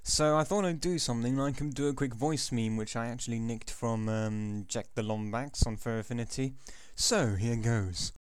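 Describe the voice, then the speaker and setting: A man's voice: medium pitch, normal speed, clean tone. An eighteen-year-old man with an English accent chatting casually at his computer, rambling with many ums and self-corrections. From England. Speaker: vlogger. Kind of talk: casual chat answering a questionnaire.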